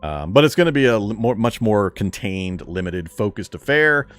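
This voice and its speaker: Low-voiced man chatting on a game stream. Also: normal speed, soft background music. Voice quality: fairly strong speaking voice. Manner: relaxed, chatty, reads viewer questions aloud and answers. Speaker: gaming streamer. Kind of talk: casual live-stream chat while gaming.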